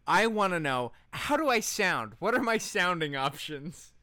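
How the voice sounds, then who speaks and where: A man's voice: high-pitched, very animated, measured pace, sharp tone, no echo, clean studio sound. A man co-hosting a podcast with casual comedic banter, swearing freely and stressing his words like a rant. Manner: profane, emphatic, ranting delivery. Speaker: podcast co-host.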